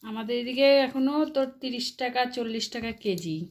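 A middle-aged woman, chatting and gently chiding like a mother on a family phone call. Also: a little high, brisk. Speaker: mother on a phone call with her grown son. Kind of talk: casual family phone chat.

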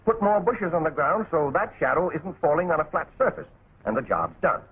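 A brisk cartoon animal instructor with a high, swooping, slightly husky voice. He bosses and explains in a folksy 1940s training-film style. The soundtrack is tinny and old. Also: lively intonation. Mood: instructive. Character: brisk, wisecracking cartoon animal camouflage instructor in top hat.

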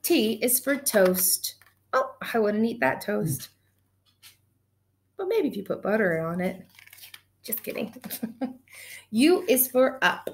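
Teacher, woman in her thirties, slightly higher voice, animated storytime delivery for kids, webcam sound. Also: slow, pauses often, slight background noise. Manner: animated, gushing, talks directly to children. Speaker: teacher reading aloud. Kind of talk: children's read-aloud. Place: small indoor room at home. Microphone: webcam at desk distance.

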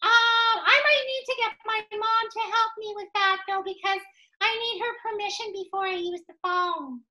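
A woman voicing a silly, excitable young flamingo puppet in a children's puppet show, childlike and gushing, with stammers and gasps. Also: very high-pitched, moderate pace, full character voice, poor audio. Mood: delighted.

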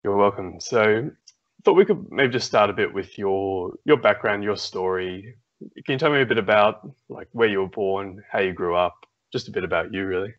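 A man's voice: lowish voice, normal speed. A young man hosting an interview show, introducing his guest and asking questions in a friendly way over a video call. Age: young adult. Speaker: podcast host. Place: small room indoors. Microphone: video call with earphones. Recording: muffled video call audio.

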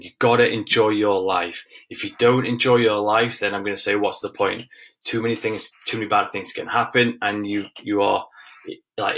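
A man's voice: lowish voice, a bit bright. A man in his thirties giving an informal online fitness lesson in a direct, instructive way, repeating key phrases for emphasis. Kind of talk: informal webinar lesson with slides.

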